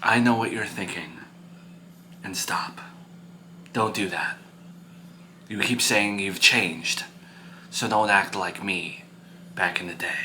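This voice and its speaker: A man voicing a stern, weary older father and grandfather who speaks quietly, in a measured, admonishing way. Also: deep voice, slight background noise, pitched below the performer's own voice, full character voice. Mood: cautioning.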